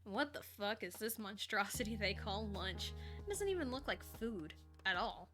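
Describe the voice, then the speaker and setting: A woman's voice: mid-range voice, animated, moderate pace, music in the background. A woman casually reading a first-person story aloud on a live stream, informally, with ad-libbed asides and lightly voiced dialogue.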